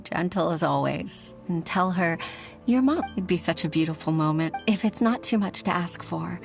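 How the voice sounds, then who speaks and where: A woman's voice: fairly low pitch, expressive, moderate pace, creaky, faint music behind. A woman voicing a humble, grateful office secretary in an anime-style game, hesitant, earnest and deferential. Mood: wistful, hopeful.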